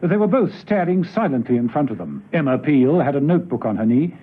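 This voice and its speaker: A man's voice: mid-range voice, lively intonation, a little fast, crowd noise. A man narrating a spy radio drama in a dramatic, scripted storytelling style. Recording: tinny, noisy old radio broadcast.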